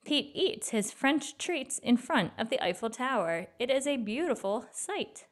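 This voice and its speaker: A woman reading a children's picture book aloud as a storyteller, in an expressive, deliberate way. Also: a little high.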